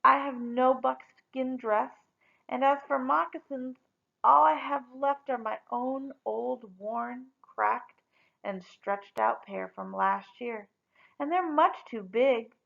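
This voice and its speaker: A woman's voice: sits high, takes it slowly, vocal fry, a bit bright, lo-fi sound, full character voice. A woman voicing a poor, apologetic man in a storybook, listing things with emphasis.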